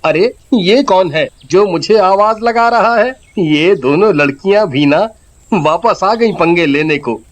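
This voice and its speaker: A man voicing a friendly, goofy young village fellow in an animated story, with a drawling manner. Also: high-pitched, takes it slowly. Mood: annoyed, puzzled.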